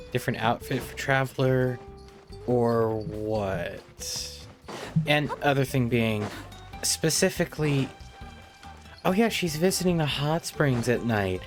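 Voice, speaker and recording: A man's voice: medium pitch, slow pace. A man giving casual, off-the-cuff commentary while streaming a game. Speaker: live streamer playing a game. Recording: game music under the voice. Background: game music.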